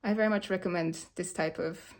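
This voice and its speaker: A woman's voice: fairly low pitch, steady tone, a little fast. A woman whose first language is Hungarian, speaking in a reflective, hesitant way with many fillers. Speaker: vlogger sharing movement practice. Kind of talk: reflective personal monologue.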